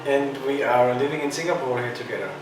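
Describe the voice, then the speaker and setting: A man's voice: mid-range voice, fast. Playful man in a very echoey room. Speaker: interviewee. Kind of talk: casual interview. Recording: very echoey room, distant mic. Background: music.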